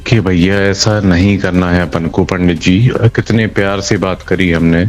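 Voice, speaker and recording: A man's voice: deep voice, fairly even intonation, measured pace, faint music behind. A man answering an interviewer in a colloquial way, often repeating phrases for emphasis. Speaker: local political party member being interviewed.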